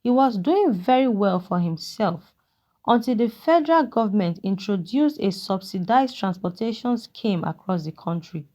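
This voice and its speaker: A woman voicing a kindly, regretful old man who talks in a measured, fatherly way in a narrated folktale. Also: medium pitch, expressive, mellow, dull audio, close to an everyday voice. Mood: reminiscing, regretful. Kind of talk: character dialogue in a narrated folktale.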